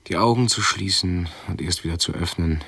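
An actor playing a fussy hypochondriac man in a radio play, who talks haltingly and pedantically, correcting himself. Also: low-pitched, gravelly, very noisy. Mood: pedantic, halting.

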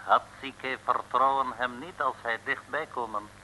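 Slow, sharp-voiced sly radio villain. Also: male voice, medium pitch, fairly even intonation. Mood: wary. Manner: pompous, mock-humble, broken grammar, calls himself third person. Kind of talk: 1950s-60s radio serial acting. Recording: noisy old radio broadcast recording.

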